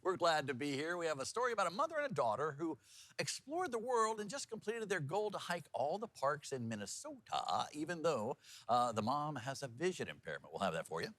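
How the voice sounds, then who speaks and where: A man's voice: on the high side, very animated, a little fast, fairly bright tone, dry recording. A man working as a TV news anchor, reading headlines and bantering in a chatty, folksy way. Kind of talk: newscast reading mixed with casual co-anchor banter.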